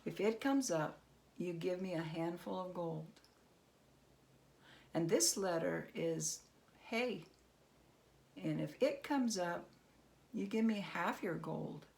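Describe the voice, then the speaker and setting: A woman voicing a sly, unhurried man who toys with his opponent, as a storybook character. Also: lowish voice, expressive, creaky, darkish tone, full character voice. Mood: instructive, sly. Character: sly, unhurried man outwitting a goblin at dreidel.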